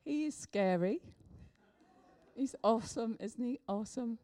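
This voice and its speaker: An older woman delivers a sermon with a slightly hoarse voice from a cold, pouring out exclamations of praise. She speaks slowly, in a fairly high, mellow voice. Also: expressive, slight background noise. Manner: warm, effusive, exclamatory preaching. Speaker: preacher.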